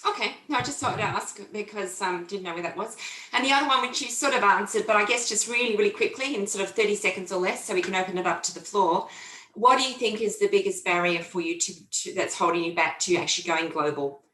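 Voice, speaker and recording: A woman's voice: mid-range voice, fast, sharp tone. A woman moderating a seminar Q&A over a video call, enthusiastic and chatty. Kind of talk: moderating a panel Q&A. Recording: echoey room on a call.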